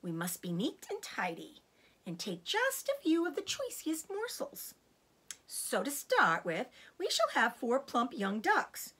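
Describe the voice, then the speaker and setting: A woman's voice: high-pitched, wildly swooping pitch, measured pace, a bit bright, slightly rough, pitched above the performer's own voice, heavily acted. A woman voicing a cocky, gleeful, bossy male fox father in a storybook, with a showy, exclamatory delivery. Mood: bossy, cautioning.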